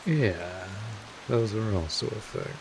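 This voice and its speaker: Male actor as seasoned vampire mentor for audio roleplay: deep, unhurried, wry, streetwise, patient with the newcomer, over steady rain-like hiss. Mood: dry, reassuring. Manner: wry, unhurried, casual, with swearing. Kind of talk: scripted audio roleplay, one-sided conversation to the listener.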